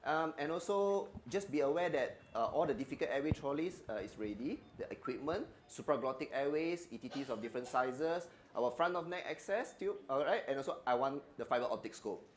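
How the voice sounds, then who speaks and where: A man from Malaysia, an anesthesiologist calmly leading a live crisis simulation and reassuring his team and patient. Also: mid-range voice, brisk, few pauses. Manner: calm, reassuring, talks to staff and patient. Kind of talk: live simulation role-play at a conference. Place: conference stage.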